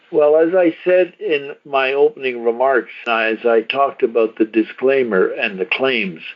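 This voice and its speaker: Male call host, slightly low-fidelity line, talkative promotional pitch with emphatic repetition. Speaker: host of a success-story conference call. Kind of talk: promotional testimonial call talk. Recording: dull lo-fi conference call line.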